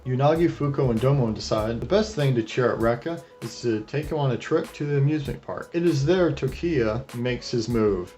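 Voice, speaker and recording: A man's voice: medium pitch, mellow. A man narrating a casual anime retrospective as its host, with an irreverent, sarcastic delivery and profane asides. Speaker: anime reviewer and video host. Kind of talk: casual scripted retrospective narration over clips.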